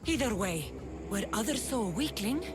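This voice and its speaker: A woman acting a proud, menacing young female warrior, lively intonation. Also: medium pitch, normal speed, over loud music, natural voice. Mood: defiant. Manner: cold, challenging. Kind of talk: dramatic video game cutscene acting.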